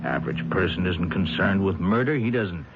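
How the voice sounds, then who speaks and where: An actor playing a chummy, roguish newspaper columnist in an old-time radio drama, chatty and folksy with a stammer. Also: male voice, sits low, lively intonation, moderate pace. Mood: uneasy. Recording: muffled, noisy old radio recording.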